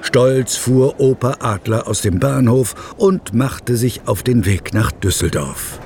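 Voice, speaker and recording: A man's voice: mid-range voice, slow pace, darkish tone, slight background noise. A man narrating a scripted children's audio drama as a storyteller.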